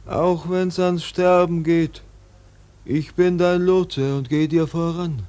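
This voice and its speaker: Slow, high-voiced eerie male seer. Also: steady tone, dark tone. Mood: insistent prophecy. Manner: slow, solemn, oracular. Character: eerie, solemn male seer and harpooner prophesying. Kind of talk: German radio play acting.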